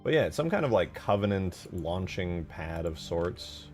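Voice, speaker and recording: A man with a deep voice talks loosely while he works on a livestream. His pitch moves around a lot as he thinks aloud in slangy bits, swearing casually. Faint music sits behind him. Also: very animated, moderate pace, darkish tone. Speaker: game modder streaming their work.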